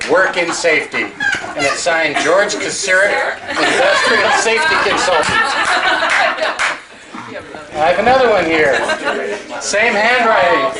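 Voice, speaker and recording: A man reading out joke birthday messages to guests at a home party. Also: high-pitched. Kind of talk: comic reading aloud at a party. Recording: noisy amateur home video.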